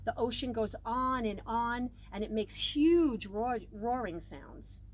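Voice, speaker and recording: A woman's voice: a little high, slow. A woman reads a children's picture book aloud expressively, leaning on key words and varying her volume. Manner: expressive, with emphasized words and varied dynamics. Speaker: storybook reader. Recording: clear background noise.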